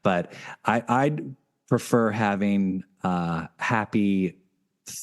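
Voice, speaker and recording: A man with a very deep voice hosting a casual podcast conversation. He talks in long, rambling turns and often restarts his sentences along the way. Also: takes it slowly. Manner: rambling, full of fillers and restarts. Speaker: podcast host.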